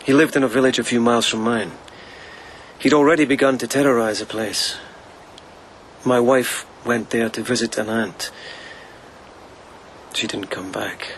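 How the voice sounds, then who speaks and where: An actor playing a reserved young lieutenant in a period radio drama, speaking in a terse, understated way. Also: male voice, medium pitch, steady tone, moderate pace, pauses often, slight background noise, natural voice, low-quality recording. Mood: grim, controlled. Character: reserved, wistful young lieutenant, a widower bent on vengeance.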